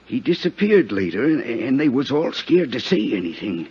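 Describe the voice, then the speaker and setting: An actor playing a scared, furtive sailor with hesitant, folksy speech in a 1950s radio drama. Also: male voice, mid-range voice, big pitch swings, moderate pace, breathy edge. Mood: fearful confiding. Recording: muffled, noisy 1950s radio recording.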